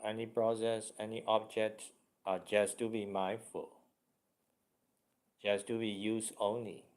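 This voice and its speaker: A male meditation teacher with a low voice guides listeners very slowly. He delivers short, halting phrases, often repeating them in a deliberate way. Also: level tone, a little mellow.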